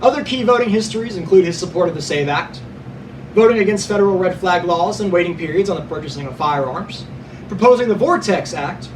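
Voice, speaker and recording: A man's voice: sits high, a little fast. A young male podcast host reading prepared news notes, sometimes stumbling and correcting himself, with casual asides to listeners. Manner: reads from notes, stumbles and corrects himself, stresses being unbiased. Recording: distant mic with clear background noise.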